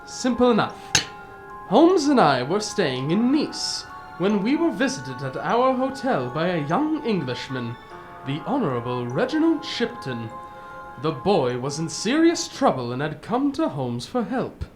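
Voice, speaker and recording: A woman playing a genial, easily flustered older gentleman storyteller in a radio drama, with a proper, old-fashioned way of talking. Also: fairly low pitch, sing-song, unhurried, music in the background. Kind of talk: radio drama narration and dialogue.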